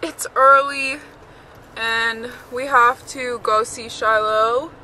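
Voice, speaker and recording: A woman's voice: a little high, fairly even intonation, takes it slowly, pushed and bright. A young woman vlogging in casual chat, talking to the camera and to her pets on a handheld camera. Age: young adult. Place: outdoors at stables, in a car and outside. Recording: noisy outdoor and car camera audio.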